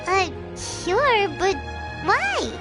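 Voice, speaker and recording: A woman voicing a tiny, childlike, excitable fairy companion in an anime game, squeaky and easily startled. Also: extremely slow, heavily acted. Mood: startled, puzzled. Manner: exclaiming, refers to self by name. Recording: loud music behind the voice.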